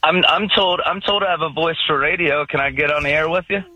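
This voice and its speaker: A man's voice: on the high side, fairly quick, no room sound, highs cut off. A man calling in to a radio show, upbeat and self-deprecating, often laughing as he talks. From Pittsburgh area. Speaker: caller. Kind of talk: call-in radio conversation.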